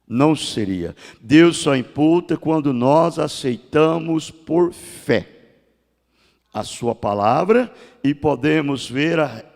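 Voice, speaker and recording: A man in his sixties with a Brazilian Portuguese accent, preaching a sermon as pastor, rising to loud cries and dropping to hushed tones. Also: on the high side, vocal fry. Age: 60s. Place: church sanctuary. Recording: long church hall reverb.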